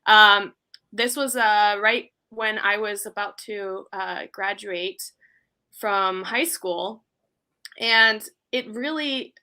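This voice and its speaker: A woman in her thirties who grew up in Iceland, talking casually as a guest on an interview show. Her voice sits a little high and rings bright and forward, and she keeps filling her sentences with ums and you-knows. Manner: chatty, many fillers like um and you know.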